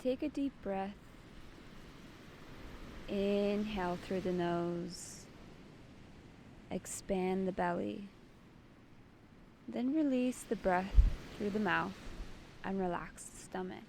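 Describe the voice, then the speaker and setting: Slow, mellow woman guiding a meditation. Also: medium pitch, vocal fry, a little hiss or hum. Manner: soothing, deliberate, instructing in short phrases. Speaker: guided meditation host. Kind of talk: guided meditation.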